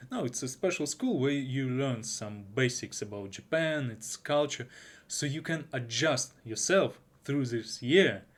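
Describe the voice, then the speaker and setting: A young man whose native tongue is Russian talks through an anime review in an unscripted way. He speaks slowly and stops to restart his sentences. His voice sits at a middle pitch. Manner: halting, with frequent restarts and fillers. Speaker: YouTuber.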